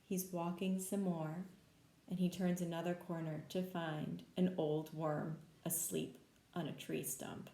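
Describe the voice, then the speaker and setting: A woman reading a storybook aloud for young children, with expressive, simple child-directed talk. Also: lowish voice, unhurried, vocal fry. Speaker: pre-K read-aloud host. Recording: echoey room.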